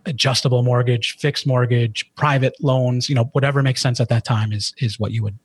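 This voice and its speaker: A man's voice: medium pitch, steady tone, a little fast, slightly rough, no room sound. A middle-aged man, a financial advisor, explaining plans in a podcast chat with many restarts and fillers. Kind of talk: podcast conversation.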